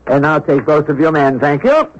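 Glib gentleman thief, middle-aged, higher-pitched male voice, dull radio recording. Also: big pitch swings, normal speed, very noisy. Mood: glib, playful. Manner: glib, teasing, unflappable. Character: wily middle-aged career thief, glib and cheerful, newly retired. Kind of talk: 1970s radio drama acting.

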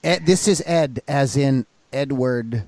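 A man interviewing a guest, chuckling and teasing him with quick asides. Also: mid-range voice, a little mellow, dry recording. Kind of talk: casual interview.

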